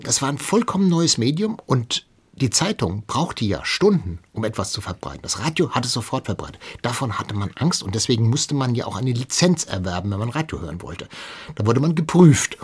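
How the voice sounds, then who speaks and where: A man's voice: medium pitch, big pitch swings, fairly quick, breathy edge. A man in a radio studio explaining history as an expert interview guest, speaking freely.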